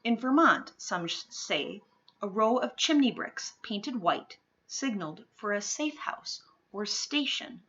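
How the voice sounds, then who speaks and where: Bright-voiced woman reading a storybook aloud. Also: mid-range voice, lo-fi sound. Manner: expressive, deliberate phrasing with dramatic pauses and emphasis. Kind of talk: dramatic children's picture-book read-aloud.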